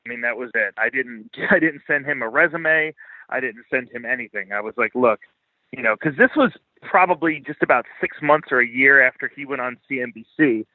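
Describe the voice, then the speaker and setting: A man's voice: mid-range voice, a little fast, no room sound. An American man from Philadelphia chatting casually as an interview guest, blunt, profane and self-deprecating. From Philadelphia, United States. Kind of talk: casual unscripted interview chat. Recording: thin phone-like line.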